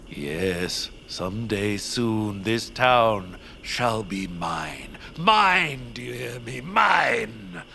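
An actor playing a pompous, scheming villain baron in a family audio drama, theatrical, grandiose and fussy. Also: male voice, on the low side, vocal fry, pushed and bright, slight background noise, heavily acted. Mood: grandiose menace. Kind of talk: audio fiction cartoon-like villain acting.

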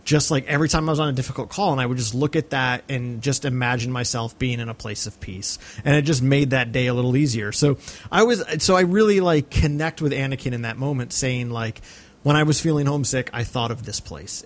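An American man co-hosting a podcast, talking casually and reflectively about film, effusive and often halting to repeat phrases. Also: mid-range voice. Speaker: podcast co-host.

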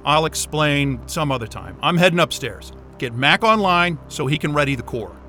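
An actor playing a stubborn, frayed, sardonic astrophysicist in a naturalistic sci-fi audio drama, talking in a profane, wry, agitated way. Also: male voice, fairly high pitch, expressive, moderate pace, bright, forceful tone, soft background music.

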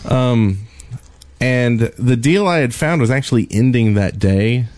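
A man's voice: mid-range voice, lively intonation, unhurried, a little mellow, no echo. A man co-hosting a podcast in loose, rambling, casual conversation. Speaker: podcast co-host.

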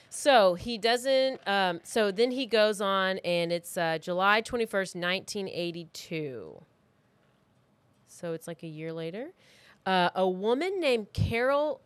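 A woman podcast host tells a story casually, as if chatting with a friend. Her voice sits at an average pitch, and she is informal and blunt, recorded close and dry. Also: no room sound. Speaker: true crime podcast host.

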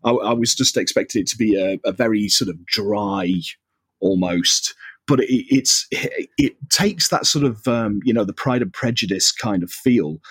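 Mancunian man, podcast guest, husky and dry, rambling and chuckling. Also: lowish voice, expressive, unhurried, fairly bright tone, dry recording. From northwest England. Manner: rambling, laughs often, many fillers and restarts. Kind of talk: casual chat.

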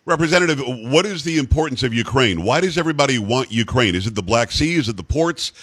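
Fast-talking talk radio host. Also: male voice, mid-range voice, expressive, fairly bright tone, husky, dry recording. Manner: blunt, folksy, rapid questioning. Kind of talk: political talk radio interview.

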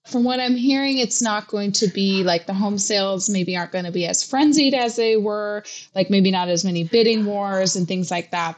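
Casting: young woman reporter from Hawaii, casual and chatty, lots of "um" and "like.". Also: lowish voice. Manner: chatty, with many fillers and restarts. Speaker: real estate reporter. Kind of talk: casual podcast interview.

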